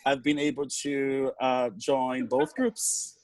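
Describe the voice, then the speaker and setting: Halting Brazilian man telling his story. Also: mid-range voice, flat delivery, dry recording. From Salvador, Bahia, Brazil. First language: Portuguese. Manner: halting, with pauses and repeated words. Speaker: panel guest, drummer in community bands. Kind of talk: unscripted personal storytelling on a panel.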